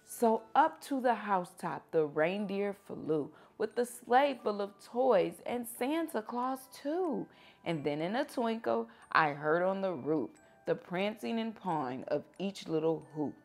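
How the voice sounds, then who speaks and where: A woman reading children's books aloud like a teacher at story time, with expressive, animated phrasing for young listeners. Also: mid-range voice, a little slow, creaky. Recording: music behind the voice.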